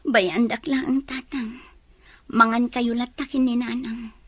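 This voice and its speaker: A woman's voice: on the high side, little pitch movement, slow, a bit bright, breathy edge, no echo, heavily acted. An actress playing a despairing young pregnant woman full of regret in a radio drama, with a plaintive manner. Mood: listless.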